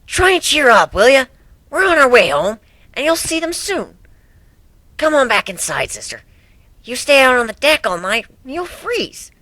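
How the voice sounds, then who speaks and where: A woman acts out a brash young pegasus mare with a bright, forceful voice. Her pitch swings freely as she talks in a blunt, caring, casual way. It is a dry, close dramatic reading of a cartoon fan story. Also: very animated, normal speed, no echo, exaggerated voice.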